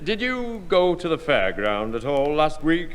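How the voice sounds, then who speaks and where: Formal British police sergeant, echoing. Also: male voice, fairly high pitch, very animated, smooth. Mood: sceptical, stern. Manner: formal, measured, deferential but firm. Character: dogged, courteous police sergeant, sceptical and official. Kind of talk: 1960 British radio sitcom acting. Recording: noisy old radio recording, hollow reverb.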